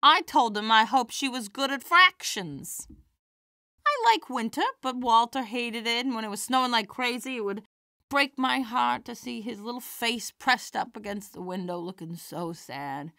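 A woman plays a feisty old granny who does stand-up in an audio drama. Her voice is bright and fairly high, with wry, theatrical punchlines. The sound is very dry. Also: lively intonation, normal speed, vocal fry, dry recording, heavily acted. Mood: wry, playful. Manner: wry, theatrical comic delivery. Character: feisty elderly granny stand-up comedienne, warm-hearted and wisecracking.

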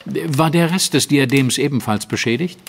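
An actor playing a composed, analytical detective in an audio drama, measured, articulate and probing. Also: male voice, mid-range voice, creaky, slight background noise, natural voice. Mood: sceptical. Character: composed, analytical male detective, sceptical and precise. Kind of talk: German audio drama acting.

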